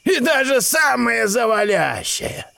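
An actor playing a stubborn, eccentric old uncle in an audio drama, with a raspy voice and theatrical, exclamatory delivery. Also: male voice, very high-pitched, sing-song, takes it slowly, sharp tone, exaggerated voice. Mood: scornful. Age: older. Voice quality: raspy, creaky. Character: stubborn, cantankerous old eccentric uncle who disbelieves everything. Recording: studio audio drama with music.

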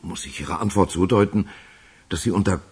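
Deep, raspy, formal official. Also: male voice, moderate pace, a little mellow. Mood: formal, earnest. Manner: stiff, wordy politeness. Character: formal, apologetic male intelligence chief. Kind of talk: German radio play acting.

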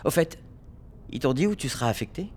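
An actor playing a naive, dreamy young conscript with a casual, slangy way of talking in an audio drama. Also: male voice, fairly high pitch, animated, brisk, darkish tone, slightly rough. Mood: wistful.

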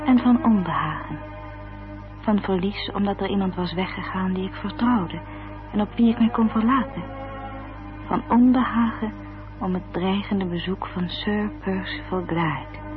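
A woman playing a composed, observant gentlewoman, narrating a radio drama in a measured, formal, literary manner. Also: mid-range voice, lightly acted. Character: composed, observant English gentlewoman recounting events. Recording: dull old radio recording.

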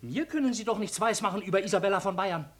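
A woman playing a tiny, irascible old shopkeeper in a radio play, with a thin, sharp voice and pompous, indignant speech. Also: medium pitch, fast talker, lightly acted. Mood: outraged. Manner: indignant, theatrical, pompously formal address. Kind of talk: German radio play acting.

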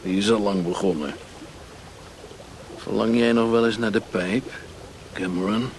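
An actor playing a fat, shady Chinese merchant in a radio play, mocking and philosophical, with a deliberate, taunting way of talking. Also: male voice, lowish voice, steady tone, slight background noise, rough recording. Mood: taunting, menacing. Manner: sardonic, taunting, deliberate, given to aphorisms.

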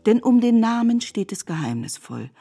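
A woman narrating a literary radio play in measured, deliberate phrases, as a reflective storyteller. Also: a little high, sing-song, mellow, slightly rough, full character voice.

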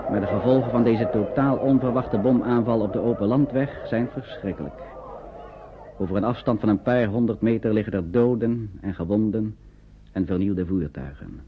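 A man narrating a Dutch radio play in a measured, descriptive storytelling style. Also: fairly low pitch, some chatter behind, lightly acted. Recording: muffled, noisy old radio recording.

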